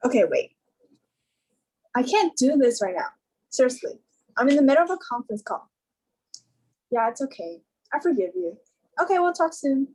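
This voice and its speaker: A woman acts out a flustered young receptionist in a comedy web series. Her voice is fairly high and raspy, and she talks back informally and with exasperation. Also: big pitch swings, moderate pace, long pauses, a little mellow, exaggerated voice, low-quality recording. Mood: breezy.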